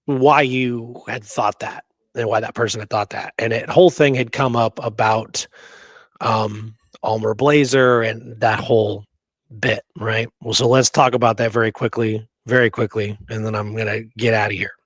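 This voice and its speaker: A man's voice: lowish voice, fairly even intonation, moderate pace, no echo. A man casually telling and discussing history as a show co-host, emphatic and often checking in with "right?".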